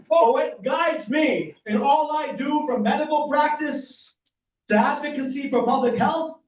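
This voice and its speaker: High-voiced man firing up a crowd. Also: slow pace. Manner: energetic, polls the crowd with rhetorical questions. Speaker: political candidate, physician. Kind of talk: campaign speech at a forum. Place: community meeting room. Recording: echoey, distant and dull room recording.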